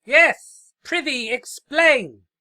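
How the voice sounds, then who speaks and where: A man's voice: very slow, bright, forceful tone, dry recording, exaggerated voice. A man voicing a thundering, pompous immortal princess who is a living sofa, speaking archaically in a comic story. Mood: imperious. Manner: booming, pompous.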